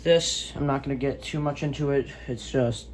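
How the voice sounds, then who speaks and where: A man's voice: mid-range voice, normal speed, noisy background. A man giving a casual, unscripted product review in a hesitant, rambling way, on an amateur home recording. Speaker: gadget reviewer.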